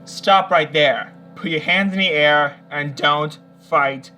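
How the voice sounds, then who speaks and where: A man's voice: a little high, slow pace, sharp tone, soft background music. A synthetic male voice playing a stern, commanding police officer with stilted delivery. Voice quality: synthetic text-to-speech voice.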